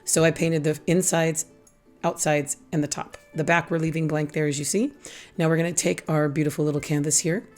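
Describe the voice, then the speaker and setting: A middle-aged woman with a low, raspy voice, hoarse from a lingering illness, talks through a craft tutorial. She is chatty and pokes fun at herself as she goes. Soft music plays faintly behind her. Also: fairly even intonation, fairly quick. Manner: chatty, self-teasing, laughs at her own jokes. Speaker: craft channel host. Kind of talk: casual craft tutorial voice-over.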